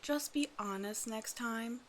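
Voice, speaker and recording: A woman's voice: a little high, slow pace, a little hiss or hum. A woman voicing a hungry half-snake naga in an ASMR roleplay, teasing and condescending, with mock-sweet menace. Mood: smug, condescending. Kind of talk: ASMR roleplay audio drama, addressing the listener.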